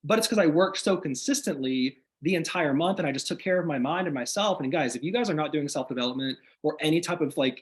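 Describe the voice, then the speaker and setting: A man sharing business tips on a video call, talking in an energetic, motivational way with lots of "like" fillers, his voice slightly hoarse after being sick. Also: on the high side, fast, hardly any pauses. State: slightly hoarse, recovering from illness. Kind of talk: casual video call chat. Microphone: video call microphone.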